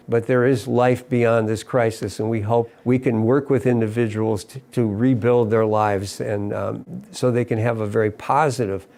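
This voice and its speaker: A middle-aged man with a voice of everyday pitch. He delivers a civic statement in an earnest, measured way, halting briefly and restarting his phrases as he goes. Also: a little mellow. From Ohio, USA. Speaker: mayor. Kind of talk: prepared civic statement to camera.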